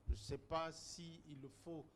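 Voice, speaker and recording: A man's voice: fairly high pitch, steady tone, unhurried, crowd noise. A man speaking as a guest in a panel debate, opening with hesitant stammering.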